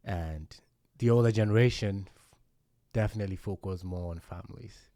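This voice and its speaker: A man's voice: lowish voice, moderate pace, warm tone, dry recording, clean studio sound. A man hosting a casual podcast conversation, reflective and thinking aloud with many fillers. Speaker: podcast host.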